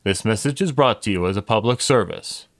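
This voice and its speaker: A man playing an earnest old-time radio announcer, narrating scripted drama in a formal, measured, dramatic way. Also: fairly low pitch, expressive. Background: music.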